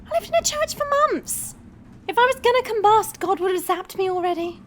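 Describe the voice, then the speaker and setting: A woman's voice: very high-pitched, lively intonation, a little fast, a little hiss or hum. A woman playing a cheeky, chatty young monster girl in an audio roleplay, playful, teasing and theatrical.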